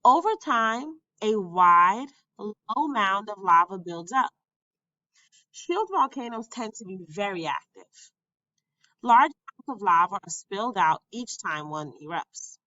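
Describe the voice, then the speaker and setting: A woman reading an educational text aloud as a teacher would, with careful, deliberate enunciation. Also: medium pitch, expressive, sharp tone, no echo, dull audio. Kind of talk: educational read-aloud narration.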